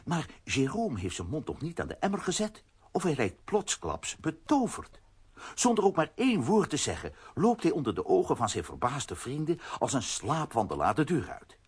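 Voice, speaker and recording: A man's voice: on the high side, wildly swooping pitch, moderate pace, breathy edge. A man narrating a scripted children's audio drama as storyteller.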